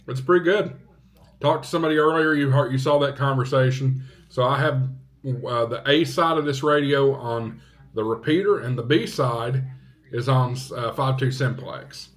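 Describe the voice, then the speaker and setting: A man talking casually to viewers as a vlog host, in an unhurried, halting way with short phrases. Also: mid-range voice, a bit bright. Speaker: vlog host, ham radio hobbyist.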